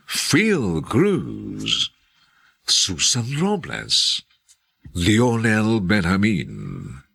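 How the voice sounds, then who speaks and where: Deep, gravelly Filipino male announcer. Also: a bit bright. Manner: formal, theatrical. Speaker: radio drama announcer. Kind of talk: scripted dramatic narration.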